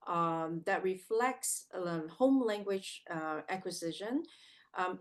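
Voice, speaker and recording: A woman giving an academic seminar lecture, speaking in a measured, explanatory way with frequent ums and uhs. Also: mid-range voice.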